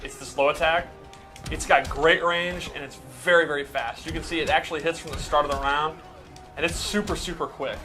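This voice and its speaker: A man's voice: on the high side, fairly even intonation, brisk, music in the background. A man giving a casual, explanatory breakdown in the style of gameplay commentary. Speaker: game commentator breaking down a character.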